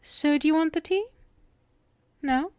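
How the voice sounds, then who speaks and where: Woman acting slow-spoken, sweet, obsessive yandere witch. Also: high voice, no room sound. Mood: doting, coy. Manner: coy, cooing, breaks into whispers. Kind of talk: ASMR roleplay acting, intimate address to listener.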